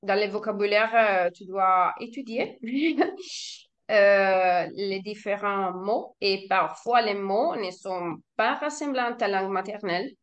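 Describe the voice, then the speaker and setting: A woman's voice: medium pitch, takes it slowly, highs cut off. A woman with an Italian accent, a native Italian speaker talking in French as a learner, hesitant with frequent restarts and laughs. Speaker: language learner, guest. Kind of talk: casual conversation.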